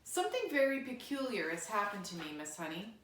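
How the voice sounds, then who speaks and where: A woman's voice: mid-range voice, big pitch swings, brisk, a bit bright, a little hiss or hum. A woman voicing an earnest, anxious young schoolgirl in a children's storybook, hesitant and pleading in manner. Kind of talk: children's storybook read aloud. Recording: roomy, echoey indoor sound.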